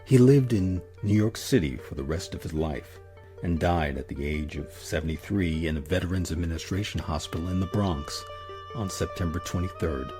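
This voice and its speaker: A man narrating a scripted history documentary as its host in a measured, solemn, deliberate manner. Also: low voice. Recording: narration over a music bed. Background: music.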